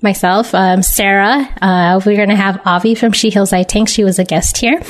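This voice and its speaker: A woman's voice: medium pitch, fairly even intonation, normal speed. A woman hosting a show, reading out news and announcements in an informal, chatty style. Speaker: podcast host. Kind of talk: casual live show news chat.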